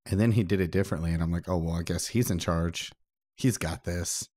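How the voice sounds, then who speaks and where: Male co-host, deep voice, casual podcast banter, very dry recording. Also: fairly quick, a little mellow, no echo.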